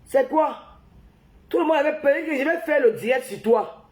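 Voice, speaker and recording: A woman with a strikingly high voice talks close into a phone camera on a livestream. She has the flu, and she rants heatedly, repeating her points and speaking straight to her audience. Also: lively intonation, moderate pace, darkish tone, echoey room. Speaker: livestream host. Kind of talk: casual live-stream rant.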